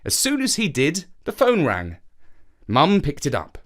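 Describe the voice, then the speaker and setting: Man reading a story with lively pitch. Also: a little slow. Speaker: story narrator. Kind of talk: scripted storybook narration.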